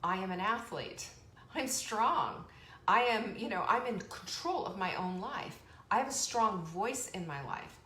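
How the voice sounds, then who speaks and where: A woman hosting a live online motivational show, chatty and energetic, laughing often and reading out viewer comments. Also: medium pitch, a little slow. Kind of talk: motivational live-stream talk. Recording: echoey room with faint background noise.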